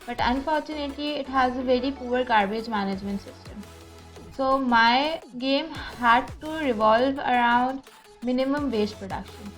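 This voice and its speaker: A woman's voice: high voice, moderate pace, soft background music. A young woman from Pakistan, earnestly presenting her game as a competition winner.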